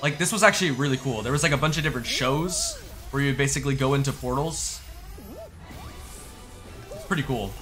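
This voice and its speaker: A young man giving casual reaction commentary as a gaming YouTuber, informal and slangy, thinking out loud as he goes. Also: medium pitch, fairly quick, pauses often, faint music behind.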